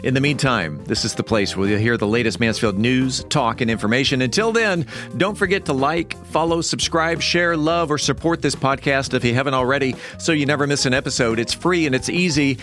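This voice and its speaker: Mid-pitched man hosting a podcast. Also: a little fast, hardly any pauses, a bit bright, soft background music. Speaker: podcast host. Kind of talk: casual interview hosting. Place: podcast recording studio.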